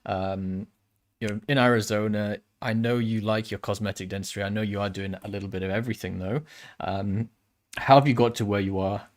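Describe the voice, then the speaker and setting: A man with a fairly low voice hosts a relaxed conversational interview. He speaks haltingly, thinking aloud as he puts questions and comments together. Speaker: podcast host, dentist. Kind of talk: casual interview chat.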